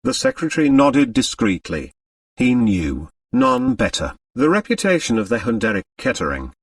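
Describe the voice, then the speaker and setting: A man's voice: mid-range voice, wildly swooping pitch, moderate pace, vocal fry, no echo, far from the mic. A man reading a novel aloud as scripted, dramatic audiobook narration.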